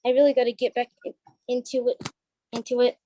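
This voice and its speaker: A woman's voice: fairly high pitch, level tone, brisk, warm tone, a little hiss or hum. A woman who performs on stage, chatting casually as an interview guest. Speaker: stage play cast member being interviewed. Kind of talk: casual chat.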